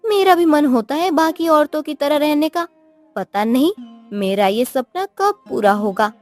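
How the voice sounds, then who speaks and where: A woman's voice: sits high, expressive, measured pace, darkish tone, no echo, soft background music, pitched above the performer's own voice. A woman voicing a poor, wistful village wife who nags and pleads in a cartoon story. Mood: wistful, unhappy. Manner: plaintive, pleading. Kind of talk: Hindi cartoon moral story voice acting.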